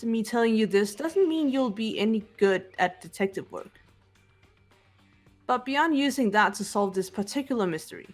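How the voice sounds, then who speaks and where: A woman voicing a cool, analytical teenage girl detective, calm and measured, lecturing with precise logic. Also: medium pitch, close to an everyday voice. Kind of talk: amateur voiced game playthrough.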